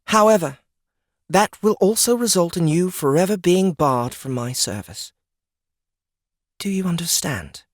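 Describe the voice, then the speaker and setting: Solemn commanding forest goddess, formal and slow to warm, fantasy audiobook, dry close sound. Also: female voice, lowish voice, lively intonation, no echo. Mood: stern, testing. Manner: formal, deliberate, imperious. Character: stern, regal goddess of nature, solemn and commanding. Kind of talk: scripted audiobook character acting.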